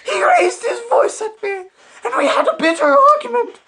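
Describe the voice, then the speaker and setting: A woman's voice: very high-pitched, sing-song, moderate pace, vocal fry, a crowd in the background, full character voice. A woman playing a melodramatic mother of a grown son, tearful and overwrought, in a comic radio melodrama. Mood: tearful confessing. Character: weepy, melodramatic mother of a grown murdered man.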